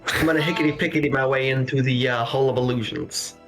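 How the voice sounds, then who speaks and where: A man's voice: medium pitch, fairly even intonation, fast talker. A man in a tabletop game, talking in a playful, folksy way. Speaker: player. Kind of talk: casual tabletop roleplay. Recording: background music.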